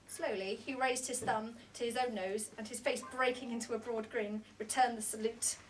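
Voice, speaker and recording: A woman with a fairly high voice reads prose from a novel aloud. She is some way from the mic, with faint room noise around her. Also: a bit bright. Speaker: reader at a book launch. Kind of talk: scripted narration, reading a novel aloud. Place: indoor bookshop launch party. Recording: distant mic in a noisy room.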